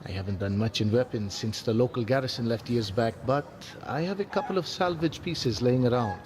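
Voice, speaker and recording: A man's voice: mid-range voice, brisk, noisy background, close to an everyday voice. An actor voicing a plain-spoken old townsman and trader in an adventure game. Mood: plain, wary. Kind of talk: video game voice acting. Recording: reverberant sound with faint music behind.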